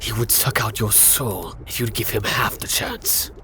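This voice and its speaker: A man narrating a scripted fantasy ASMR roleplay in a hushed, often whispered voice with dramatic pauses. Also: lowish voice, a little hiss or hum.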